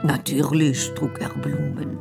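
An actress playing a confidante who recalls a courtship in a radio drama monologue, with hesitant pauses. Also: female voice, a bass voice, creaky, heavily acted. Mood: confiding. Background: music with cello.

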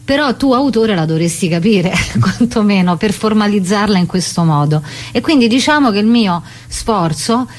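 A woman's voice: mid-range voice, expressive, normal speed, hardly any pauses. A woman interviewed as a playwright and actress, explaining conversationally and emphatically, rephrasing and piling up short phrases.

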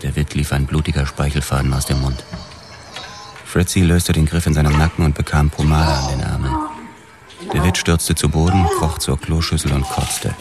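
An actor playing a hard-boiled police detective who narrates a radio drama in a terse, grim, literary way. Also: male voice, very deep voice, fairly even intonation, moderate pace. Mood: cold, detached. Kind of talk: scripted first-person radio drama narration. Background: music.

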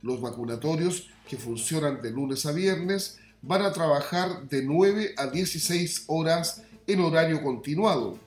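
A man's voice: on the high side, expressive, measured pace, few pauses, roomy sound. A man with a Chilean accent presenting a scripted radio newscast. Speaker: news presenter. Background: music bed.

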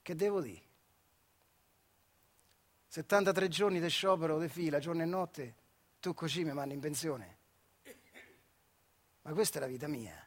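Guy acting as tired bitter old retired factory worker, marche accent, kinda high voice, wry stage monologue. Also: normal speed, pauses often, lightly acted. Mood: bitter, resigned. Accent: central Italian, Marche. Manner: colloquial dialect, wry and rueful. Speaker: solo stage actor. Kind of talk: dramatic theatrical monologue.

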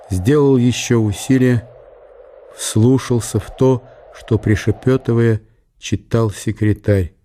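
A man's voice: on the low side, dark tone, slight background noise. A man narrating a radio drama in a scripted, storytelling style.

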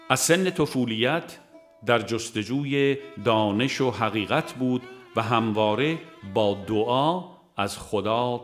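A man reads a scripted audiobook in a measured, formal manner. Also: on the low side, a bit bright. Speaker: audiobook narrator.

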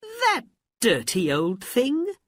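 A woman's voice: on the high side, huge pitch swings, slow pace, no echo, pitched above the performer's own voice, full character voice. A woman voicing a poor, caring, fretful mother in a storybook, in an exclaiming manner. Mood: fussy. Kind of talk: storybook character voice.

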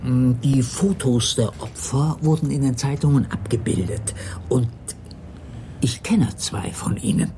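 A man's voice: mid-range voice, a little slow, lightly acted. An actor playing a timid, fussy male porter and secretary in a German crime radio play, speaking politely and formally with hesitations. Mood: hesitant, hushed. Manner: hesitant, polite, formal, breaking into hushed tones. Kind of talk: 1980s German crime radio drama acting. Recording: studio radio play with noisy background ambience.

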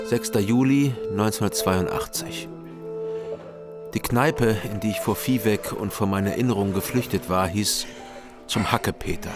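Male actor, world-weary detective, low pitch, measured sombre noir narration, radio drama, prominent music. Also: close to an everyday voice.

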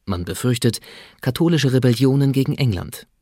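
A man's voice: mid-range voice, lively intonation, moderate pace, warm tone, no echo. Sober male narrator, close and dry. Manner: sober, factual. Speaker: narrator and announcer. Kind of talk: scripted radio drama narration.

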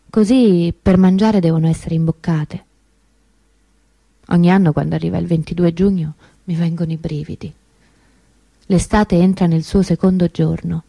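An actress playing an anguished, stubbornly hopeful grieving sister in a theatrical radio monologue, intense and emotive with dramatic pauses. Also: female voice, on the low side, gravelly, warm tone, no echo, poor audio.

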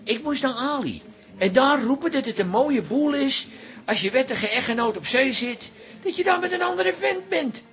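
An actor playing a hapless husband roped into posing as a sea captain, in a radio drama. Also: male voice, very high-pitched, lively intonation, moderate pace, a bit bright, heavily acted. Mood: bewildered.

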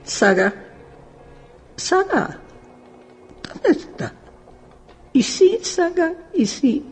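Imperious, very slow-speaking old widow. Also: female voice, very high voice, sing-song, exaggerated voice. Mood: calling out. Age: older. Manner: slow, deliberate, commanding. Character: frail elderly widow, reclusive, imperious and insistent. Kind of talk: radio drama acting. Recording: music behind the voice.

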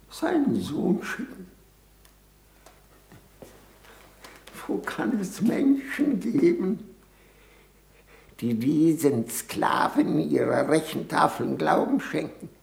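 Creaky, declamatory old cardinal. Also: male voice, on the high side, very animated, darkish tone, exaggerated voice. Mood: outraged, scornful. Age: older. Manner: declamatory, halting, pompous. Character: very old, indignant, grandiose cardinal, half-blind. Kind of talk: 1960s German radio play acting. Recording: roomy echoing 1960s radio studio.

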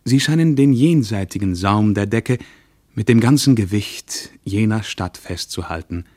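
A troubled, reflective male traveller in a radio play, with a fairly low voice. He speaks in measured, literary phrases, recorded dry and close as if reading from a diary. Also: expressive, a little mellow, dry recording. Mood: weary, observant.

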